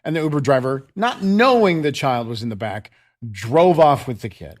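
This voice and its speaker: A man with a deep voice co-hosting a radio talk show, trading wisecracks as the sidekick. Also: lively intonation, moderate pace. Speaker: radio co-host.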